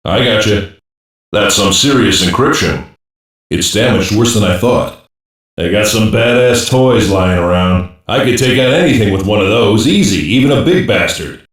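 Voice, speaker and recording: Deep-voiced gruff cyborg soldier played by a man, echoey. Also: animated, normal speed, distant mic, natural voice. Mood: wry, casual. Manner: blunt, sardonic, slangy. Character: gruff, wisecracking tough male special-operations cyborg soldier. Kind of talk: English-dub anime voice lines.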